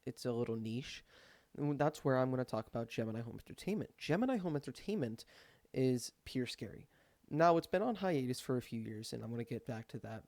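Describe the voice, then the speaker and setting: A man talks through his opinions in a loose, informal commentary style, swearing freely. His voice has a lot of creak and fry at an ordinary male pitch. The sound is dry and close, with little room in it. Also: expressive, brisk, a little mellow, no room sound. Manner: rambling, informal, peppered with swearing. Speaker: video creator.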